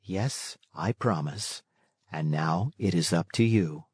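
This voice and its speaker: A man voicing a motherly fairy woman in a storybook, tender and measured, kind but firm as she moralizes. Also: low-pitched, darkish tone, breathy edge, no echo, clean studio sound, pitched below the performer's own voice, exaggerated voice. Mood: reassuring.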